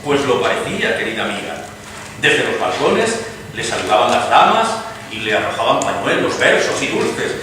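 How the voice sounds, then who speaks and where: A man playing a grandiloquent, courtly gentleman recounting events emphatically in a dramatized radio-play reading. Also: medium pitch, big pitch swings, moderate pace. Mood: grand, admiring. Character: grandiloquent gentleman Señor Estrada, eager eyewitness storyteller. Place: live stage reading in a hall. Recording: distant echoey hall, clear noise.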